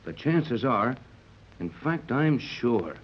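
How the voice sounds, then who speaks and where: An actor playing a steady, fatherly sea captain who reasons things out, in 1930s radio serial style. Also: medium pitch, slightly rough, heavily acted. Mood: thoughtful. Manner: measured, reassuring, explanatory. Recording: dull old 1930s radio recording.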